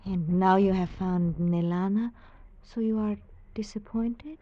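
A woman's voice: medium pitch, close to an everyday voice. A woman playing a shy coy island girl. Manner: soft, halting, formal speech. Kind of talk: 1950s radio drama acting. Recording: dull old radio recording.

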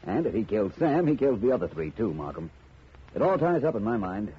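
A man's voice: fairly low pitch, big pitch swings, brisk, husky, exaggerated voice. A man playing a shrewd, self-assured, tough private detective in a mid-century radio drama. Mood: cool, assured. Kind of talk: 1940s-50s radio detective drama acting. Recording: muffled old radio recording, light hiss.

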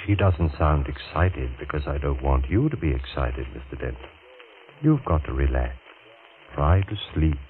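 An actor playing a measured, authoritative doctor with a bitter, pointed edge in a 1940s radio drama. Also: male voice, rumbling low, animated. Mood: calm, authoritative. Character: smooth-talking, bitter doctor, a jilted former suitor. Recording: scratchy, narrow-band 1940s radio recording.

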